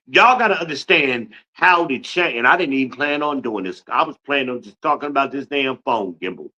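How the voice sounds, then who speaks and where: A man's voice: mid-range voice, pushed and bright, rough recording. A man in his fifties from Newark, New Jersey, hosting a podcast livestream with a boastful, blunt, profane rant. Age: 50s. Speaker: podcast host.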